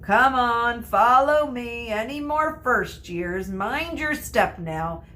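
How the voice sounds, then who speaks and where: A woman voicing a big, hairy, hearty giant man from a storybook, calling out to children in drawn-out shouts. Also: fairly high pitch, expressive, pitched above the performer's own voice, exaggerated voice.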